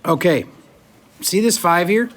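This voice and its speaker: A man's voice: high voice, lively intonation, a little slow, fairly bright tone, slight background noise. A male math teacher lecturing a classroom in a chatty, joking way while losing his voice. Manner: chatty, jokey, moves around the room.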